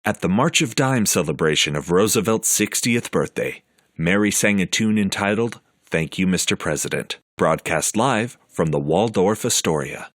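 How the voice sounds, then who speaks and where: A man narrating a documentary in a measured, scripted storytelling manner. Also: deep voice, expressive, fairly bright tone.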